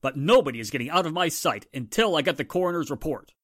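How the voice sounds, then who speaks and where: A man's voice: fairly high pitch, expressive, fast, husky, no echo, clean studio sound. Man playing a tough, commanding police inspector. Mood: stern. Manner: curt, commanding, sarcastic. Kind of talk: 1940s radio drama acting.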